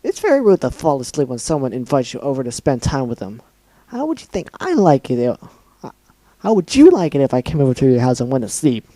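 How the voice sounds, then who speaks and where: A man voicing a sadistic female cartoon pony who scolds her captive in a raised, shrill voice. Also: huge pitch swings, a little fast, no echo. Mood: scolding. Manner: shrill scolding, getting louder.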